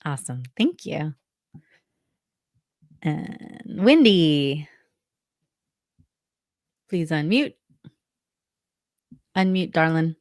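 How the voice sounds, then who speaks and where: A woman hosts a panel over a video call, drawing her words out slowly. The sound is dry and close, with no room around her voice. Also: low voice, big pitch swings, darkish tone, no echo. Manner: slow, drawn-out speech with frequent laughs. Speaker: summit host.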